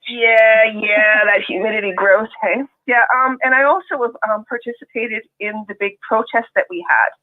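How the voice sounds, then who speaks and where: A woman's voice: a little high, moderate pace. Woman, Canadian accent, caller, chatty and enthusiastic, thin phone-line sound. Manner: chatty, enthusiastic, laughs often. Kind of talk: casual call-in chat.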